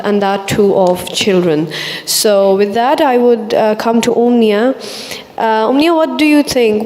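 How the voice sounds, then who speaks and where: A woman from Pakistan moderating a panel, speaking in a measured, steady way. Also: mid-range voice. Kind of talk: panel discussion. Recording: long hall reverb.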